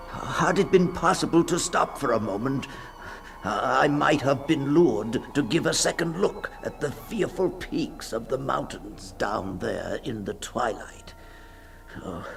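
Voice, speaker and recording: A man's voice: medium pitch, creaky. Man acting a terrified, stammering nightmare sufferer. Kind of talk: dramatic first-person radio storytelling. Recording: 1960s radio drama with faint music.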